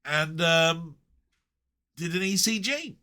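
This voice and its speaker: Guy, bright tone, meandering self-mocking vlog storyteller. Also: a little high, lively intonation, takes it slowly, clean tone. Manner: chatty and meandering, with self-deprecating jokes and frequent ums. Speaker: retro gaming vlogger. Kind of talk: rambling personal vlog chat.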